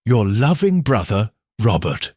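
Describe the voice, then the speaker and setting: A man's voice: lowish voice, very animated, measured pace, darkish tone, husky, no room sound, studio quality, heavily acted. A man reading as a hopeful young brother. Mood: earnest. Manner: earnest letter reading. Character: hopeful young man writing to his sister.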